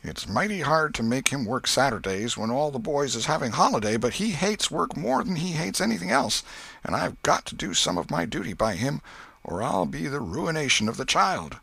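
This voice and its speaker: An exasperated old country aunt from a storybook, acted out by a man, her pitch lifted high and swinging freely. She speaks in a folksy rural Southern American drawl, scolding and fretting with a soft heart underneath. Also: sing-song, moderate pace, creaky, slightly rough, dry recording, full character voice. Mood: fretful, fond. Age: older. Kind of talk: audiobook character voice.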